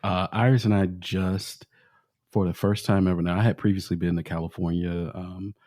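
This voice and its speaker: Deep-voiced male podcast co-host. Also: steady tone, normal speed, darkish tone, no room sound, clean studio sound. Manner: affirming, appreciative. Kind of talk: casual interview chat.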